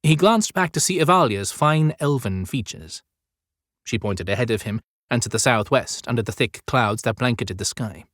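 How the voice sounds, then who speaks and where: A man narrating a fantasy audiobook as scripted storytelling, with measured, clearly phrased delivery. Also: mid-range voice, animated, a bit bright, no echo, clean studio sound.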